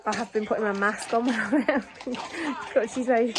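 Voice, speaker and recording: A woman vlogging a home video diary while ill, her voice croaky and tired, talking plainly with many pauses and ums. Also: a little high, a loud crowd, rough recording. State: croaky voice from illness. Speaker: family vlogger. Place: family home.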